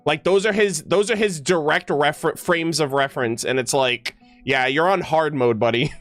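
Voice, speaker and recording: Guy streamer, kinda high voice, slangy casual reactions, game music behind. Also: moderate pace, a bit bright. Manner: slangy, exclamatory.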